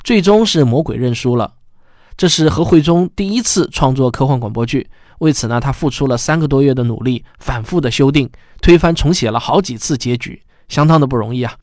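A man's voice: medium pitch, a little fast, a little mellow, dry recording, studio quality. A man hosting a science podcast in a chatty, explanatory way. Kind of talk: podcast commentary, conversational.

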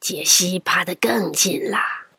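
A woman narrating a children's audio drama in an expressive, dramatic storytelling manner. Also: on the low side, takes it slowly, creaky, heavy background noise. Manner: dramatic, sometimes hushed storytelling. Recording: music behind the voice.

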